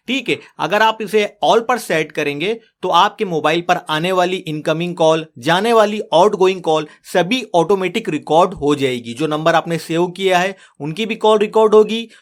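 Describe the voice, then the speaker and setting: A man's voice: sits high, a bit bright. A man narrating a step-by-step phone tutorial as the host, in an instructional way, addressing viewers as friends.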